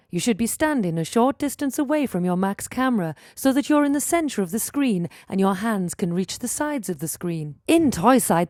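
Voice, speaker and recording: A woman reading scripted tutorial narration for a game, giving instructions in a clearly enunciated way. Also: mid-range voice, darkish tone, no room sound, studio quality.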